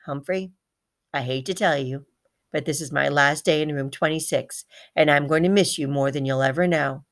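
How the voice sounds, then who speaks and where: A woman voicing a kind storybook schoolteacher in a soft, gentle manner. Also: low voice, normal speed. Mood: regretful. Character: kind young woman teacher saying a gentle goodbye.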